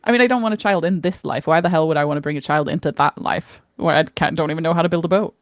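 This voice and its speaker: A woman's voice: sits low, fast, dry recording. A woman chatting casually as a podcast co-host, wry and self-deprecating. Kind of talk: casual chat.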